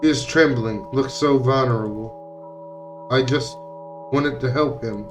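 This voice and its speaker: A man's voice: medium pitch, measured pace. Man narrating a story, distant mic. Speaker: streamer reading a visual novel aloud. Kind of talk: dramatic read-aloud narration of game text. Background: music.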